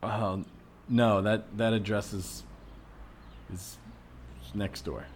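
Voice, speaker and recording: A man playing a bewildered, polite, skeptical everyman in improvised comedy, hesitant and deadpan in his wording. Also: fairly low pitch, takes it slowly, noisy background. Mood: confused, hesitant.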